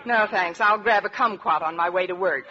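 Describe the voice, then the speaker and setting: An actress playing a sardonic high school English teacher, delivering dry wisecracks in an old radio sitcom style. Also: female voice, a little fast, natural voice. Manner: dry, wisecracking, deadpan punchlines. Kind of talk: 1940s radio sitcom acting. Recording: muffled, noisy vintage radio recording.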